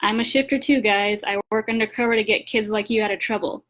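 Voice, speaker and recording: A woman's voice: mid-range voice, fairly even intonation, quick pace, a bit bright, close to an everyday voice. A woman voicing a tough, impatient undercover shapeshifter in a story, blunt and commanding. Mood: reassuring, matter-of-fact.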